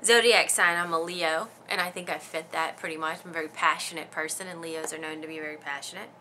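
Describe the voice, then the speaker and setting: A woman in her thirties talks casually through a list of questions, rambling and giggling with plenty of ums. Her voice sits a little low but sounds bright and forward. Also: steady tone, quick pace, a little hiss or hum. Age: 30s. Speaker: beauty vlogger. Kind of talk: casual chat answering tag questions.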